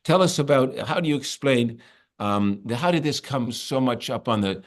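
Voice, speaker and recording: A man hosting an interview, asking long, halting questions full of restarts. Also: medium pitch, animated. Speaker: interviewer and host. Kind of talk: conversational interview.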